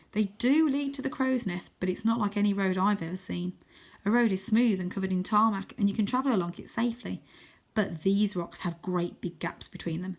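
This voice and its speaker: A woman narrating a children's adventure book in an expressive, dramatic way, sometimes hushed for suspense. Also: mid-range voice, creaky. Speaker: story reader.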